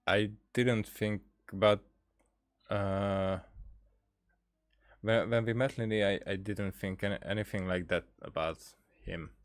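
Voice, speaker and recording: Low-voiced man, halting game commentary. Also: fairly even intonation, no room sound, clean studio sound. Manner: hesitant, halting, repeats words. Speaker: gaming streamer, let's player. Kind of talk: casual gameplay commentary.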